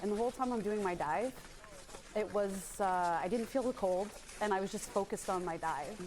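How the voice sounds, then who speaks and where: A woman's voice: mid-range voice, normal speed, a little mellow, poor audio. A woman from Maine, a free diver, telling her story in a casual interview, chatty and rambling with many fillers. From Maine, USA.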